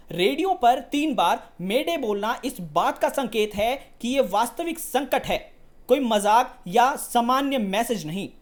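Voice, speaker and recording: A woman news presenter delivering scripted news narration. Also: on the high side.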